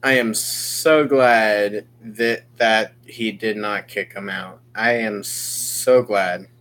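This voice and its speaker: A game streamer giving live commentary on a visual novel, exclamatory and sweary, halting and often repeating phrases. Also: mid-range voice, steady tone, fairly bright tone. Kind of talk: live visual novel playthrough commentary, reading lines aloud.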